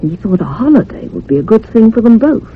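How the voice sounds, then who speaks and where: A woman acts out an older country housekeeper in a radio play, superstitious and full of sorrow. Her speech is rustic and swings in pitch. It comes through a narrow, telephone-like recording with some noise. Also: big pitch swings, fairly quick, lightly acted. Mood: troubled. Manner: rustic old-fashioned turns of phrase, confiding. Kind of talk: old-time radio drama acting. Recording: muffled phone-like radio recording with hum.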